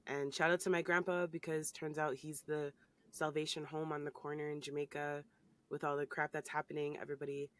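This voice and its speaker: A woman's voice: deep voice, level tone, a little fast. A woman, a guest in a casual interview, talking in an easygoing, upbeat, joking way. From Canada. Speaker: guest, hip-hop artist.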